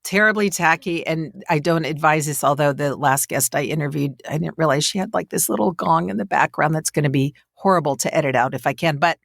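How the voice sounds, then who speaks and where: A woman with a deep voice hosts an interview podcast. She talks conversationally to her guest, and the recording is close and dry, without room echo. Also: fairly quick, creaky, few pauses.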